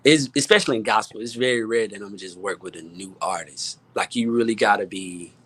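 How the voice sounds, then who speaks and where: A man with an American accent talking casually as a guest music producer, halting and repeating words as he stresses his points. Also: on the low side, a bit bright, slightly rough. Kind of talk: casual panel talk.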